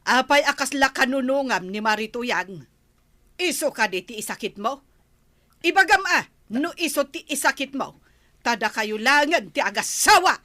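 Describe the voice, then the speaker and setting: A woman's voice: sits high, lively intonation, normal speed, pushed and bright, no room sound. A woman playing a village peacemaker with a fiery temper in an Ilocano Filipino comedy drama, chiding and emphatic. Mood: accusing.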